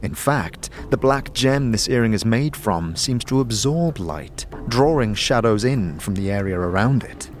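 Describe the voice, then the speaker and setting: A man's voice: on the low side, lively intonation, unhurried, few pauses, faint music behind. A man reading scripted fantasy narration dramatically, as the storyteller of an audio drama.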